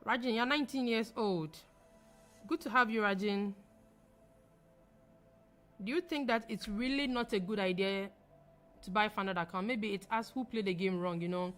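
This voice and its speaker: A woman's voice: mid-range voice, moderate pace, long pauses, fairly bright tone, faint music behind. A young adult woman from Ghana with a Ghanaian accent, hosting a live trading stream and answering viewers in a chatty, emphatic way that repeats phrases and laughs easily. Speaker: livestream trading host.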